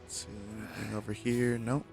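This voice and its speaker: A man's voice: medium pitch, level tone, brisk, vocal fry, music in the background. A man doing casual, reactive gameplay commentary as a streamer, joking and slangy. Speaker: game streamer.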